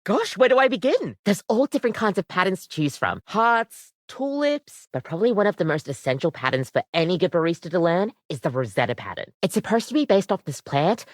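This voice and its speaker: A woman's voice: lowish voice, big pitch swings, brisk, few pauses, no echo, studio quality. A woman voicing a friendly, chatty coffee maker come to life as a barista in a video game, with bubbly, slightly awkward customer patter. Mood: earnest, chatty. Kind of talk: animated video game voice acting.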